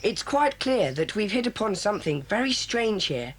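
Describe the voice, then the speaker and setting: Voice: medium pitch, sing-song, moderate pace, slightly rough. A performer voicing a solemn, bossy boy who leads a children's club, speaking in an earnest, formal, commanding way in a 1980s children's audio drama.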